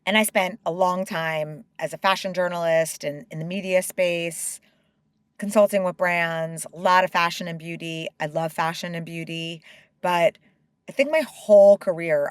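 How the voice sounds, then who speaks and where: A middle-aged woman speaks candidly as an interview guest. Her voice sits slightly low, and it is recorded dry and close with no room sound. She talks with open emotion and stops and restarts often. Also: no echo. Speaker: guest, company co-founder.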